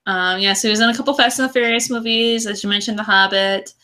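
A woman's voice: medium pitch, fairly even intonation, fast talker, bright, forceful tone. A woman co-hosting a podcast, chatting casually about films in a gushing, slangy, effusive way. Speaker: podcast co-host.

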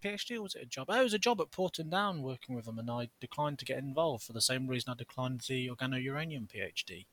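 A man's voice: medium pitch, lively intonation, quick pace, few pauses, a bit bright, slight background noise. A man co-hosting a podcast, theatrical, jokey and sarcastic in casual chat. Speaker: podcast co-host.

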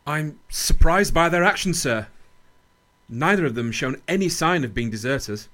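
A man playing a loyal, principled army captain in a radio play, earnest, measured and firm. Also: fairly high pitch, animated, a bit bright. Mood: respectful, puzzled. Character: loyal, principled British army captain defending young soldiers. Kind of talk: radio drama acting and narration.

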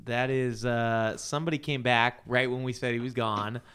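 A man's voice: mid-range voice, steady tone, normal speed, fairly bright tone, smooth. A man co-hosting a podcast, tossing in dry, casual, joking asides. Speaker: podcast co-host.

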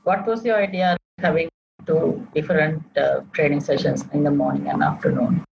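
A podcast host asking plain, hesitant questions with fillers. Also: male voice, on the high side, fairly even intonation, moderate pace. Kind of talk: interview questions. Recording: dull lo-fi with clear background noise.

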